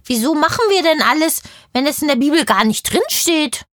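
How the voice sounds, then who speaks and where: A woman's voice: high voice, animated, moderate pace, a bit bright, no echo, studio quality. A woman playing a curious, chatty kindergarten girl in a children's audio play, with emphatic, cheeky childlike talk. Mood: puzzled.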